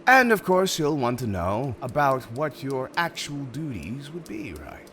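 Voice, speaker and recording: A man's voice: medium pitch, sing-song, unhurried, noisy background, voice pushed up. A man playing an urbane, smug supervillain in an ASMR roleplay, speaking in a courteous, theatrical and emphatic way. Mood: smug, businesslike. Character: urbane, smug male supervillain interviewing a prospective minion.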